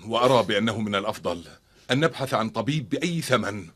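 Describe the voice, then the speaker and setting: A man's voice: on the low side, animated, fast, a bit bright. An actor playing a firm, protective middle-aged father in a cartoon dub, speaking earnestly and formally. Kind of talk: dubbed cartoon acting in formal speech.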